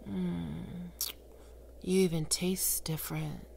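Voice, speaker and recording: Sultry demon queen of lust, a woman acting her out, low gravelly voice, slow and commanding, whispered ASMR roleplay. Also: level tone, dark tone, a little hiss or hum, full character voice. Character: seductive, domineering female demon queen of lust.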